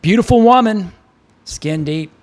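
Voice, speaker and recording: Man playing a wisecracking bartender, lifted lively pitch. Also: unhurried. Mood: teasing. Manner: breezy, wisecracking banter. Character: ruddy Irish-American male bartender, bantering old pal.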